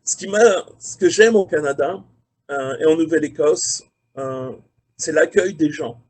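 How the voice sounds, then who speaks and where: A man in his forties from France with a French accent, speaking as a career mentor on a video call from his factory office, relaxed and hesitant with many fillers. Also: a little high, unhurried. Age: 40s. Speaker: career mentor, quality manager.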